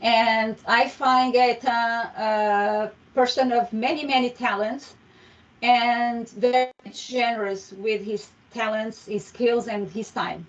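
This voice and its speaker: A woman hosting an online meetup, introducing a guest speaker in a friendly, halting way with many fillers and restarts, speaking through a headset on a video call. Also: a little high. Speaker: meetup host. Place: home room, video call. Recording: distant, dull video call audio.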